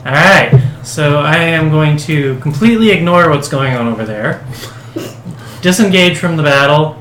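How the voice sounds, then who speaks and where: A man's voice: a little high, animated, moderate pace, a bit bright. A man playing a tabletop role-playing game, casual and wry as he plans his turn. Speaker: player. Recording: echoey room with audible hiss.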